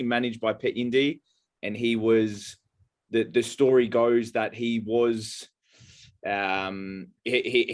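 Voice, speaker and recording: Aussie guy cohosting a podcast, rambles and trails off a lot. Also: mid-range voice. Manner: rambling, halting storyteller with many fillers. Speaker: podcast co-host. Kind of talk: casual chat.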